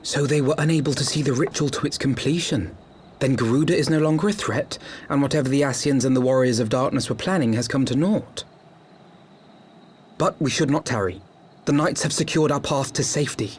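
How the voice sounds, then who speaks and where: A man playing an earnest, troubled young nobleman in a game cutscene, with formal, eloquent, measured speech. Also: medium pitch, darkish tone, slight background noise, close to an everyday voice. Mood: relieved, resolute. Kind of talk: video game cutscene acting. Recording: background music under the dialogue.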